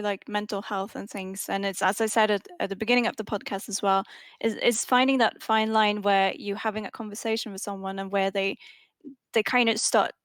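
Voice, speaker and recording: A woman's voice: mid-range voice, no echo, studio quality. A woman speaking earnestly and a bit hesitantly in a casual podcast discussion.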